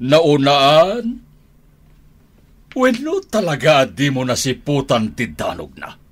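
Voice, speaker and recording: Husky, slow, stern father. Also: medium pitch, big pitch swings. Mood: needling. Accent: Ilocano. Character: stern older father, scolding crime boss. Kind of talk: Philippine radio drama acting.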